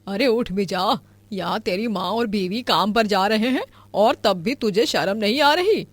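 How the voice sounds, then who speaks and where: Woman as a loud, nagging village woman. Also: mid-range voice, lively intonation, measured pace, no echo. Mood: scolding. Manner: sarcastic, nagging. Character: scolding, exasperated mother and wife of a lazy man.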